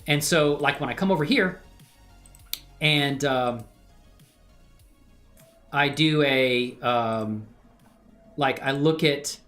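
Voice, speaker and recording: A man's voice: mid-range voice, slow, long pauses, fairly bright tone, faint music behind. A middle-aged man chatting informally and enthusiastically as he hosts a casual livestream demonstration. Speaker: livestream host and hobby animator.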